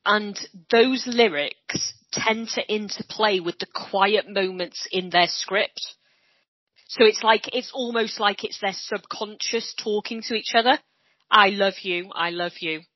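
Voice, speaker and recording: A woman's voice: medium pitch, unhurried, no echo. A woman from Wales with a Welsh accent, co-hosting a podcast and earnestly making her points in casual chat. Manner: earnest, makes points at length. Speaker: podcast co-host. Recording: dry, dull lo-fi sound.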